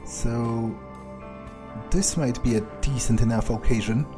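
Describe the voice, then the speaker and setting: A man's voice: medium pitch, natural voice. A man voicing a jaded, wary male vampire in a game playthrough, wryly muttering his thoughts in character. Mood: jaded, musing. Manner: unhurried, wry, muttering to himself. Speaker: gamer role-playing in character. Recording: faint game music behind.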